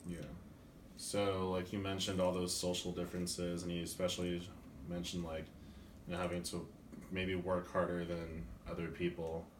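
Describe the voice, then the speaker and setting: Young male student interviewer, deep monotone, rambling questions, echoey room, distant mic. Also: normal speed, background noise. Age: young adult. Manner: frequent "mm-hmm" backchannels, rambling questions. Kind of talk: class project interview.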